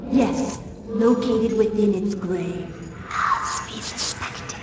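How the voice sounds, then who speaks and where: An ancient, ominous goddess from a horror game, played by a woman, speaks slowly with regal menace. The voice comes from far off in a huge echo, over noisy ambience. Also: mid-range voice, close to an everyday voice. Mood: ominous warning. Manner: regal, archaic, slow and menacing. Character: imperious, ominous ancient godlike being, distrustful of mortals. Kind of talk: theatrical horror game acting. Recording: cavernous reverb, distant, heavy ambient noise.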